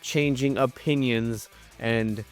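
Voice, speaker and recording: A man's voice: mid-range voice, steady tone, takes it slowly, soft background music. A young man with a stuffy nose chatting casually through a toy unboxing, full of ums and uhs. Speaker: toy reviewer.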